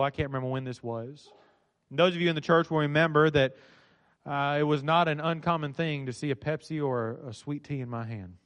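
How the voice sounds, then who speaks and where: A middle-aged man preaching a sermon in a church, with a dynamic delivery that swings from loud to soft. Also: a little high. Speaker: pastor. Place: church sanctuary.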